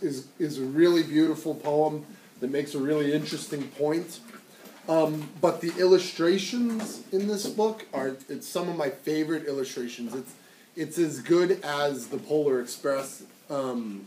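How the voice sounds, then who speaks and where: A man's voice: on the high side, a little mellow. A man presenting a picture book to young listeners as a teacher would, informally explaining and prompting them. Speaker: teacher reading aloud to children. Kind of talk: informal picture-book read-aloud with commentary. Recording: roomy echo, distant mic, faint noise.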